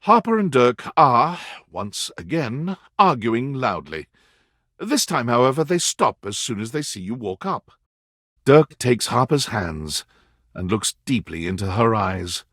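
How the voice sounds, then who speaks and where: A man narrating a video game in a measured, articulate, scripted storytelling delivery. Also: mid-range voice, sing-song, a bit bright, no echo, studio quality. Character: male narrator of a relationship drama game. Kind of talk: scripted second-person narration.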